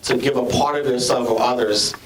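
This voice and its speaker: A man's voice: medium pitch, fairly even intonation. Jamaican man, school leader, earnest speech, picked up from afar in an echoey library, faint hiss. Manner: earnest, measured, with repeated restarts. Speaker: school administrator. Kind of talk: ceremony speech. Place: school library, large room. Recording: echoey room, from far off.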